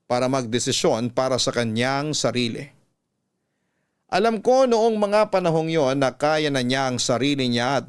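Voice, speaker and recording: A man with a Filipino accent reading a letter as scripted dramatic narration, measured and earnest. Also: mid-range voice, clear, resonant tone. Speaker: radio story host reading a letter.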